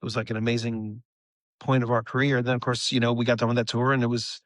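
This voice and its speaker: A man's voice: mid-range voice, little pitch movement, fast talker, fairly bright tone, no room sound, studio quality. An American man talking casually as an interview guest, earnest and rambling, often repeating a phrase as he tells his story. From United States. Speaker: rock musician, interview guest.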